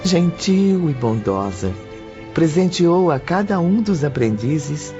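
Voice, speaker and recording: A man narrating an audio drama with a slow, deliberate pace. His pitch moves a lot as he tells the scene. The audio is muffled, with loud music under him. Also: big pitch swings.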